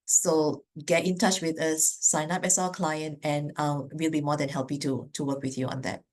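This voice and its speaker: A woman from Malaysia with a Malaysian accent and a low voice answers business owners' questions during an online webinar. She speaks earnestly and stops often to restart her sentences and fill pauses with "um". She is heard through a computer mic on a video call. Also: little pitch movement. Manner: earnest, many fillers and restarts. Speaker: business advisor.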